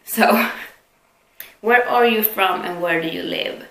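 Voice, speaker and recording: A woman's voice: medium pitch, slow pace, fairly bright tone. A young adult woman from Sweden, chatting casually and candidly to camera as a self-deprecating vlogger. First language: Swedish. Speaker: vlogger answering viewer questions. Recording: echoey room with faint background noise.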